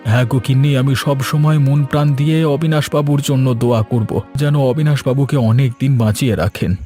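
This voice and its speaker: A man's voice: medium pitch, fairly even intonation, a little fast, dark tone, faint music behind, lightly acted. A man voicing an earnest, grateful middle-aged village farmer in a cartoon. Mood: earnest relieved. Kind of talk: cartoon voice acting.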